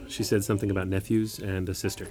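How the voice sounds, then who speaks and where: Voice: deep voice, little pitch movement, quick pace, mellow, lightly acted. Someone acting a quirky neighbor type, earnest n rambly, kinda naive, jumpy, radio comedy style. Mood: helpful, joking. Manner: rambling, naive, easily startled. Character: earnest, quirky, chatty neighbor accompanying an injured older woman. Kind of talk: audio comedy-drama acting.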